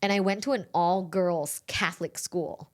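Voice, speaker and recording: A woman's voice: lowish voice, moderate pace. A young adult woman from Thailand, a podcast guest who talks candidly and frankly about her life, with many fillers. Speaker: podcast guest, sex educator. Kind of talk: casual interview chat.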